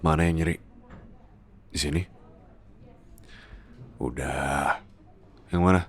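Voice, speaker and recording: A man playing a bossy, rich young boyfriend who is gruff yet doting and protective, in an ASMR roleplay. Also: rumbling low, fairly even intonation, slow pace, full character voice. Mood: tender scolding. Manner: scolding, insistent, code-switching with English words.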